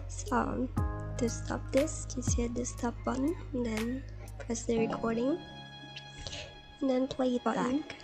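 A woman's voice: fairly high pitch, fairly even intonation, slow pace, pauses often. A woman with a Filipino accent giving a casual step-by-step tutorial, instructional and often checking that the listener follows. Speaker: tutorial host. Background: music.

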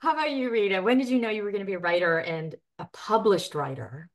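A woman's voice: mid-range voice, fast. A middle-aged woman, a librarian, moderating an author panel over a video call with encouraging questions. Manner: warm encouraging questions with soft "mm-hmm"s.

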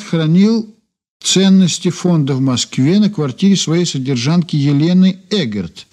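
Deliberate, wry investigator in radio drama. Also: male voice, on the high side, darkish tone. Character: brisk male investigator reading old reports aloud, wryly intrigued. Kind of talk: Soviet radio drama acting.

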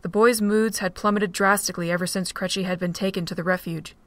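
A woman narrating an amateur audio drama in a steady, plain reading. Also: medium pitch, fast, no room sound. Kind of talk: storybook narration.

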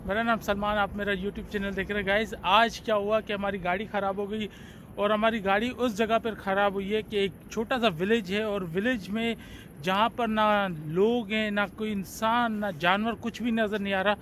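A man in his forties vlogging to his phone camera on an outdoor train platform at night, in a chatty, relaxed way. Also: sits high, moderate pace. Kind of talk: casual vlog narration. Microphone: phone at arm's length. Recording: faint outdoor background noise.

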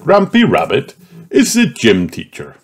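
A man reads a children's story aloud at a slow, deliberate pace. His pitch moves very widely for an expressive storytelling feel, with music clearly playing behind him. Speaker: storybook narrator. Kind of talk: children's read-aloud narration.

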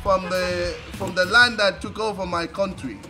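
Un homme qui prend une voix aiguë à l'accent ougandais factice, un joueur bruyant qui chantonne et provoque ses adversaires. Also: male voice, sits high, fairly even intonation, normal speed, lightly acted. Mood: playful. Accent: put-on Ugandan. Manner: sing-song, squealing, trash-talking. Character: high-voiced foreign-accented man, goofy and brash. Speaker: streamer playing a shooter game. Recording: loud game music behind voice. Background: game music.

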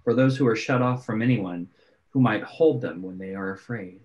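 Man reading church prayers slow and solemn, muffled lo-fi call audio. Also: lowish voice, a little mellow. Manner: measured and solemn. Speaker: sign language interpreter voicing prayers. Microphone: video call. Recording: dull lo-fi video call audio.

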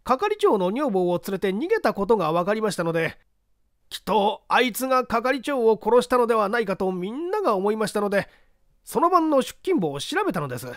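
A man's voice: sits high, sing-song, a little fast, a bit bright, dry recording, studio quality, pitched above the performer's own voice. A man voicing a polite, earnest works foreman explaining himself under questioning in a mystery story. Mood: earnest, deferential. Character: deferential male works foreman answering an interrogation.

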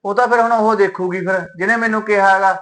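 A harried young son and husband, played by a man, pleads and reasons in quick bursts. His voice is high for a man. It sounds bright and pressed. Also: steady tone. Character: hard-pressed son caught between wife and mother. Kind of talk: Punjabi family drama acting.

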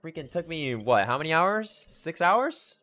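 Young guy reacting on stream, slangy and hyped, bright lively voice. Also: on the high side, a little slow. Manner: excitable, slangy. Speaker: game streamer. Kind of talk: casual live reaction commentary. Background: game music.

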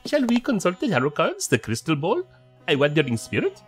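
An actor gives us a self-important psychic from an audio drama, grand and theatrical. The pitch leaps and plunges dramatically from phrase to phrase. Faint music plays along. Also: wildly swooping pitch, fairly quick. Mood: grandly welcoming. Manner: grandiose, theatrical sales patter.

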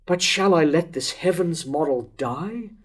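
A man's voice: fairly high pitch, measured pace, husky. An older man playing a wavering, lovesick king in a declamatory staged reading of verse tragedy. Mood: lovesick, tormented. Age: older, about 60s to 70s. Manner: declamatory, rhetorical questioning. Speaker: actor reading Herod in a staged play reading. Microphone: stand microphone at a lectern.

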